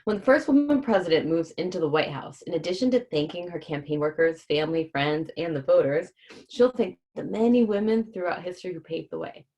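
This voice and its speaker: Low-pitched woman on a children's livestream. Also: fairly quick, warm tone, rough recording. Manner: informal, explains hard words simply for children. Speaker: host reading a children's book on a livestream. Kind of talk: casual livestream chat and children's book read-aloud.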